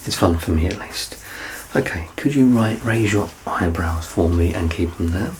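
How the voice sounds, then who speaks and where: A man playing a doctor in an ASMR medical exam roleplay, soft-spoken and reassuring, with gentle teasing and close whispered passages. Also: deep voice, normal speed, slight background noise. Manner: slips into unvoiced whispering up close.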